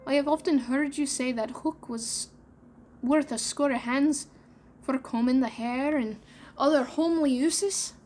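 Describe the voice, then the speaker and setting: A simple, good-natured pirate bosun, as a woman reading a storybook puts him on, chirpy and eager to please. His voice rides high above the reader's own and comes out loud and bright. He speaks slowly, with a cheerful lilt. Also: slight background noise.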